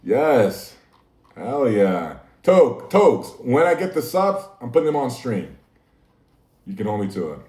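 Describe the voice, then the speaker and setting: A man's voice: medium pitch, big pitch swings, a little slow, darkish tone. A man hosting a casual livestream, talking easily to his chat and laughing often. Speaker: livestream host. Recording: echoey room, mic at a distance.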